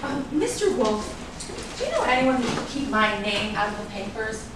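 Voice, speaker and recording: A woman's voice: on the high side, sing-song, moderate pace. A woman playing a vain, self-assured reality-show star in a staged drama reading. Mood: polite, probing. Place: room at a live staged reading. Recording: echoey room, distant mic, noisy.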